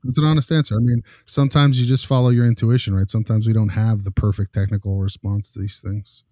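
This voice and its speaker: A man's voice: on the low side, flat delivery, quick pace, warm tone, no room sound, studio quality. A man hosting a casual case discussion, informal and blunt, pressing the others with questions. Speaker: discussion host. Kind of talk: casual panel discussion of clinical cases.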